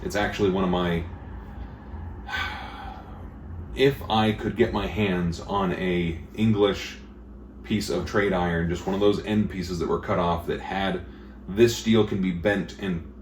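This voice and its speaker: A man who teaches blacksmithing, talking in a casual interview with a booming, emphatic way of explaining things at length. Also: steady tone, a little slow, long pauses. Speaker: blacksmith instructor. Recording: echoey room with faint noise.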